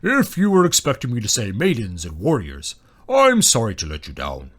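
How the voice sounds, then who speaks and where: A man voicing a huge old male dragon, cranky and wry, with a deep, booming, slow delivery for a fantasy story. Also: huge pitch swings, a bit bright, breathy edge, full character voice. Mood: gruff, dry.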